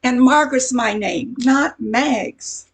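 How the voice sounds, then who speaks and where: Woman as slow, gravelly, sassy diner waitress. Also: sits high, exaggerated voice. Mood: brash, indignant. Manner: tough-talking wisecracks with slangy grammar. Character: brash, touchy diner waitress, sassy and easily offended. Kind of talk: radio comedy play acting.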